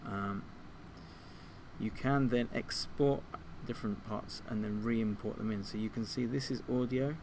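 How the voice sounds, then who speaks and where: A man giving an informal step-by-step software tutorial as a music tutor, thinking aloud a little hesitantly. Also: lowish voice, steady tone, a little slow, slight background noise, poor audio.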